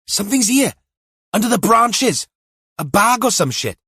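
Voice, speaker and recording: Audiobook role: wary young teen lad acted by a male narrator, high-pitched, animated, nervy and protesting. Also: measured pace, slightly rough, no echo, studio quality, heavily acted. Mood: urgent alarm. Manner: anxious, protesting. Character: nervous, cautious teenage boy, younger and wary.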